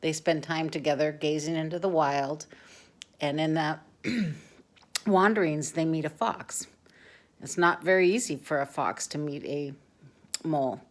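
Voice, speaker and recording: A woman with a fairly low voice reads a picture book aloud. She narrates informally, slipping in chatty asides for young listeners. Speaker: storyteller.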